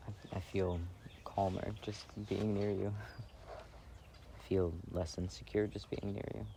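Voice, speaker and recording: A man playing a gentle, insecure boyfriend in an intimate ASMR roleplay, speaking softly and hesitantly. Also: deep voice, flat delivery, creaky. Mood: tender. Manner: hesitant, halting, soft-spoken. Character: gentle, insecure, affectionate plant-loving boyfriend reading outdoors. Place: outdoors, sitting on grass. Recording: faint outdoor noise, birdsong. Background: faint birds and outdoor ambience.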